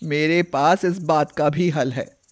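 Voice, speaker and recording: A woman voicing an anxious middle-aged mother who coaxes and pleads, in an animated moral story. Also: low-pitched, slow pace. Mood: coaxing, insistent. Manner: pleading, coaxing.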